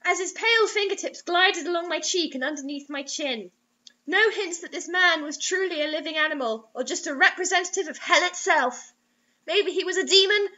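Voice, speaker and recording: A woman's voice: very high-pitched, pushed and bright. A young woman reading bad fiction aloud in a theatrical, overwrought, mocking way with asides. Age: young adult. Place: small indoor room. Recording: dull lo-fi webcam sound.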